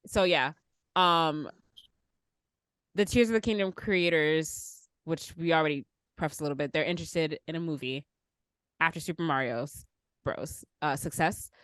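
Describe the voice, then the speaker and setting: A woman's voice: low-pitched, normal speed, pauses often, a bit bright, clean tone, no room sound, studio quality. A woman hosting a podcast, chatting casually about pop culture. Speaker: podcast host.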